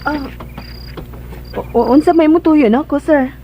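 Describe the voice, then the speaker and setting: A woman's voice: high voice, moderate pace, noisy background, natural voice. A woman acting a timid, obedient young servant in a radio drama, with a Cebuano Filipino accent. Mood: deferential. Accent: Filipino, Cebuano-speaking. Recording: dull band-limited audio, loud music behind.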